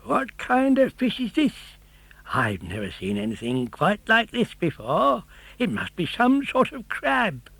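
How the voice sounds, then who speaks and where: A man's voice: sits high, huge pitch swings, a little slow, vocal fry, fairly bright tone, breathy edge, no room sound, voice pushed up, heavily acted. A greedy, hot-tempered fisherman out of a children's story, performed by a man. He exclaims in a raised, wildly swooping voice and savours his words as he gloats. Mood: amazed. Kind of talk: audiobook character voice.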